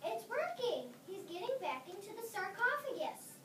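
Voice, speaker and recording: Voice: extremely high pitch, sing-song, moderate pace, fairly bright tone, full character voice. A young reader playing an anxious, scolding schoolgirl in an amateur readers' theater performance. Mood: urgent. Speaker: student reader. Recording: distant mic in echoey, slightly noisy room.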